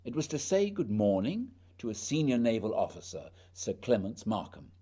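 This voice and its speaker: A man's voice: medium pitch, sing-song, moderate pace, warm tone, slightly rough. A man playing a proud, determined naval explorer in a radio play, speaking earnestly and dramatically, often questioning. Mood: proud, recollecting.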